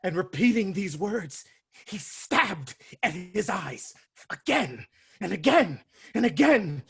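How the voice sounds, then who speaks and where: Man as grim messenger, grave, theatrical tragedy reading, husky, fairly high voice, video call. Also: big pitch swings, slow pace, a bit bright, exaggerated voice. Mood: mournful. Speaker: actor in a dramatic reading. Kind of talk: staged reading of a Greek tragedy.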